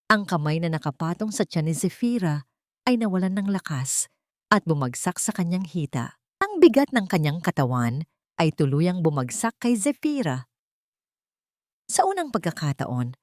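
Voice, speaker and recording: Low-pitched woman narrating a dramatic love story. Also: a little mellow, dry recording, clean studio sound.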